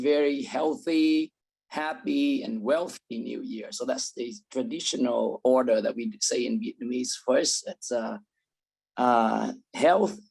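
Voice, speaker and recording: Male guest speaker, hoarse and raspy, casual, on a video call. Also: medium pitch, darkish tone, poor audio. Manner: clears throat, casual. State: lost his voice.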